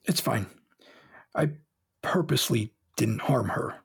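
A man playing a formal, stoic, logical brother holding back grief in an audio drama, husky and precise. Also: medium pitch, lively intonation, slow, heavily acted. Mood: restrained.

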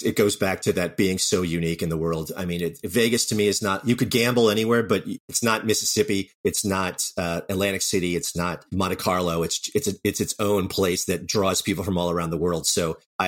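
A man answering as an interview guest in a conversational, reflective way, repeating words as he thinks aloud. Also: low voice. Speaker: writer and creator, interview guest.

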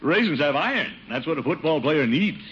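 An actor playing a pompous, doting middle-aged uncle in a 1950s radio sitcom, blustery and theatrical. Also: male voice, fairly high pitch, very animated, fairly quick. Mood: proud, blustery. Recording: dull, hissy 1950 radio recording.

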